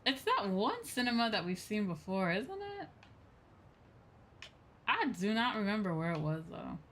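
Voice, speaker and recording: Chatty, expressive woman streaming a game. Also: mid-range voice, moderate pace, slight background noise. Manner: chatty, expressive, casual swearing. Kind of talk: casual game livestream commentary, reading on-screen dialogue aloud. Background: game music.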